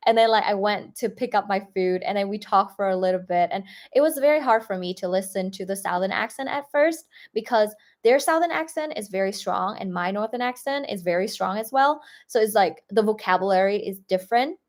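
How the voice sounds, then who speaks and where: A woman's voice: mid-range voice, a little fast, few pauses. Chatty young Vietnamese-accented woman. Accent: Northern Vietnamese. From northern Vietnam. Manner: chatty, many "like" fillers and restarts. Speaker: YouTuber. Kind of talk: casual livestream storytelling.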